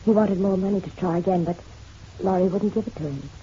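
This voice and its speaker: A woman's voice: on the low side, fairly quick, warm tone, natural voice. An actress playing a genteel, proud middle-aged mother in a radio drama, poised and arch with a bitter edge. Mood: bitter, firm. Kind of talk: noir radio drama acting. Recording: dull, slightly noisy radio-drama recording.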